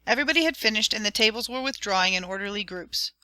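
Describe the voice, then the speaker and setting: A woman narrating an audiobook with a clear, expressive reading. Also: medium pitch, quick pace, dry recording. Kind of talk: storybook narration.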